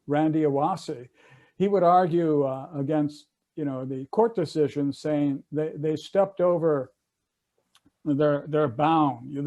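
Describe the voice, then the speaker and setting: Older man, mellow voice. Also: fairly high pitch. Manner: conversational, loose with fillers and restarts. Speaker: attorney and panelist. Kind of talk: recounting history on a panel. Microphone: video call.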